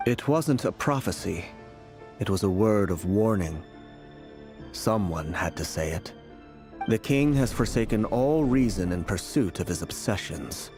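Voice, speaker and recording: Deep, weary, solemn prophet. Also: male voice, expressive, a little mellow. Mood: resigned, solemn. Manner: grave, deliberate, eloquent. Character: weary, blinded male prophet, solemn and fatalistic. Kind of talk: video game voice acting. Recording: game dialogue with music behind.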